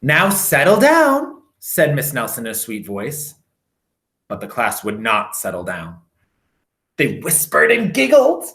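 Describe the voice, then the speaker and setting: A man reading a storybook aloud for children, with theatrical emphasis and drawn-out words. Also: lowish voice, very animated, vocal fry, a bit bright.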